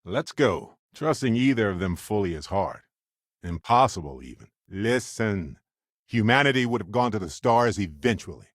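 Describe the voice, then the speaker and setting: Expressive, low-voiced male space explorer. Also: normal speed, no room sound, clean studio sound. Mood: earnest, reflective. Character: wry, adventurous, warm-hearted male spacefaring explorer companion. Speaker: video game voice actor. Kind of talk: acted video game companion dialogue.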